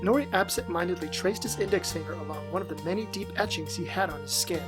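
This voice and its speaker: A woman's voice: fairly low pitch, fast. A woman reading scripted narration for an audio drama. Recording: music behind the voice.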